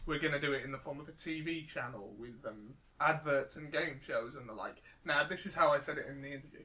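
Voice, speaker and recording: A man's voice: on the high side, fast, sharp tone. A young man with a British accent, chatting casually as a podcast co-host in a rambling, chuckly way. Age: young adult. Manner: rambling anecdotes, frequent chuckling. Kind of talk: casual comedic chat.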